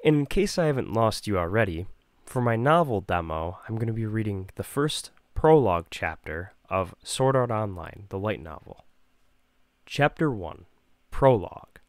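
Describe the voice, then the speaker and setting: Low-voiced man reading a scripted demo. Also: very animated, normal speed, no room sound. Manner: self-deprecating. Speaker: amateur voice actor presenting a demo reel. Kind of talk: scripted narration and reading aloud.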